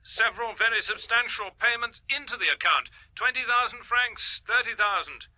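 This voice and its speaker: Flustered, earnest British inspector on the telephone, radio drama: sharp, dry, high. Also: male voice, moderate pace, dry recording, exaggerated voice, poor audio. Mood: earnest, reporting. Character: earnest, slightly flustered male police inspector reporting by telephone.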